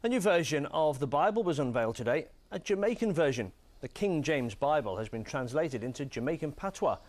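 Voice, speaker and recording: A man reporting a news story in a measured, formal broadcast style. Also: mid-range voice.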